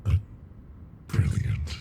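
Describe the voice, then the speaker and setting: A man voicing an ancient, weary alien monster in captivity for an ASMR roleplay, speaking in a gravelly, brooding murmur, condescending yet intimate. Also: very slow, mellow, a little hiss or hum. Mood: fascinated, amused. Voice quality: very deep, gravelly, breathy murmur. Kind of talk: ASMR character roleplay monologue.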